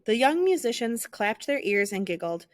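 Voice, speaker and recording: A woman narrating a children's picture book aloud in an expressive, theatrical storytelling style. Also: a little high, normal speed, no room sound.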